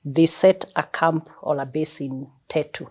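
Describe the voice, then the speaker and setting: Kenyan woman, 50s, deep-toned guest, gracious storyteller. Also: a little slow, darkish tone, breathy edge. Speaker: guest, bank executive. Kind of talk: relaxed personal storytelling. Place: her home.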